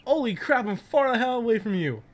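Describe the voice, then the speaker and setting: Excitable high-pitched sweary male gamer. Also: a little fast. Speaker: gamer playing in co-op. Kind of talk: casual gameplay chat with a friend.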